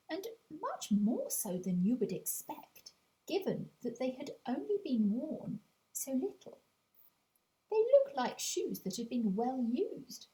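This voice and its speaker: A woman's voice: a little high, dark tone, poor audio. A woman narrating a children's audiobook as a storyteller, with confiding asides to the listener.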